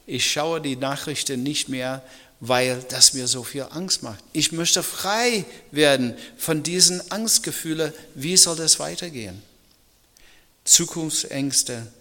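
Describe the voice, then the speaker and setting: Bright-voiced preacher in a reverberant hall. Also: male voice, fairly high pitch. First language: English. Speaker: pastor. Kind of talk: sermon in German. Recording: long reverb of a large hall.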